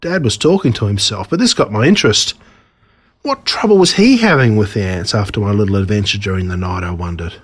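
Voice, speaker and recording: An Australian man reads a children's story as a narrator, expressively and with dramatic pauses on key words. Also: lowish voice, lively intonation, slightly rough. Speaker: author reading own story.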